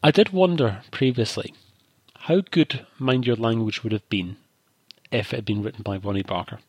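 A man's voice: fairly low pitch, normal speed, a little mellow, breathy edge, dry recording. A man co-hosting a casual chat show, talking in long thoughtful asides. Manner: discursive, thoughtful, many asides. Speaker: podcast co-host.

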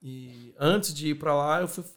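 A Brazilian man speaks as a musician guest in a relaxed interview. His voice is somewhat high for a man, and he tells his story in slangy, halting bursts full of fillers.

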